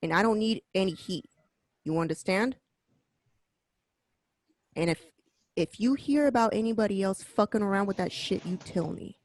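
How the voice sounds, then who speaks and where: A woman's voice: medium pitch, normal speed, pauses often, no echo, close to an everyday voice. Stern, blunt mob boss read by a woman. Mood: threatening. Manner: blunt, profane, emphatic repetition. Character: stern, wary mob boss, warning and controlling. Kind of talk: amateur radio drama table read.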